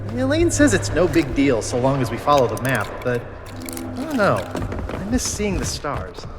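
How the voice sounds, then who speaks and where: A man playing an earnest, excitable young pirate hero who reads out his diary in a video game. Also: mid-range voice, measured pace. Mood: uneasy, haunted. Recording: loud music under the voice.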